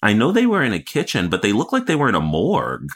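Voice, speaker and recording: A man with a low-pitched voice co-hosts a chatty podcast. He talks in a casual, animated, conversational way, bantering about television. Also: fast. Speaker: podcast co-host.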